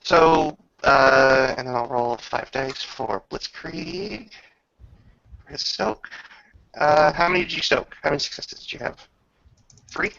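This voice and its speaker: Gravelly, bright-edged man running a tabletop game. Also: medium pitch, big pitch swings, unhurried, no echo, muffled recording. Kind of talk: improvised narration and game refereeing in casual talk.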